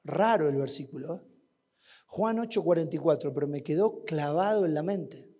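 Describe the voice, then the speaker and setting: Man, Argentine accent, sermon, rhetorical questions, loud then hushed, long hall echo. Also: on the high side, normal speed. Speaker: preacher. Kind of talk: sermon at a youth camp.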